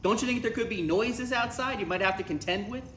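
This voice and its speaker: A man's voice: sits high, a little fast, fairly bright tone. A man playing a put-upon adult son in a live comedy script table read, dry, anxious and hesitant in his exasperation. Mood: dry, exasperated. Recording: echoey live room with faint noise.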